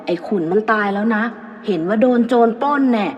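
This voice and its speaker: Obsessive village villain played by a female storyteller, rough-spoken, muffled, heavy reverb. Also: medium pitch, fairly quick, natural voice. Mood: feigned casualness. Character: jealous, murderous village man, scheming and obsessive. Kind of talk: dramatised Thai folk storytelling.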